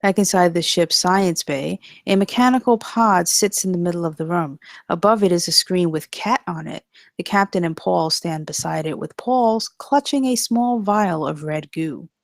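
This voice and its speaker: A woman's voice: fairly low pitch, normal speed, few pauses, dry recording, clean studio sound. A woman narrating the stage directions at a screenplay table read in a scripted style.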